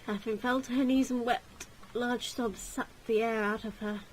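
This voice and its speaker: A woman's voice: on the high side, fairly even intonation, moderate pace, a little hiss or hum, rough recording. A woman reading a gothic visual novel aloud as narrator, in a dramatic storytelling style.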